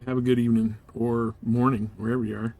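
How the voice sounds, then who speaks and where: An easygoing man hosting a live game stream. His voice sits at a medium pitch. He chats casually with viewers and often half mutters to himself. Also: flat delivery, fast, dark tone.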